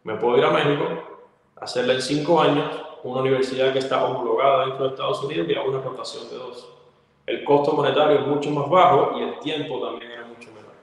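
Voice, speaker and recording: This young man speaks in a Cuban accent, fluent and storytelling, with fillers between thoughts. His voice rings out in a bare, reverberant room with a long tail. The pitch sits at an ordinary middle for a man. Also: fairly even intonation, quick pace, vocal fry. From Cuba. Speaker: guest, actor and model. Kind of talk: casual interview answers. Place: indoor room, plain wall. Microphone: video call.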